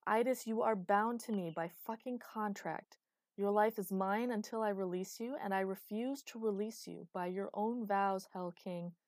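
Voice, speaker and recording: A woman voicing a desperate, fierce, commanding heroine in a dark fantasy audiobook, emotional and insistent. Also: medium pitch, measured pace, no echo, natural voice. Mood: furious command. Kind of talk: audiobook character acting.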